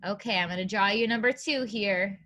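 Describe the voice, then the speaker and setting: A woman's voice: mid-range voice, brisk, sharp tone. A woman preschool teacher reading aloud to young children, asking questions and counting in an encouraging, sing-song way with simple phrasing.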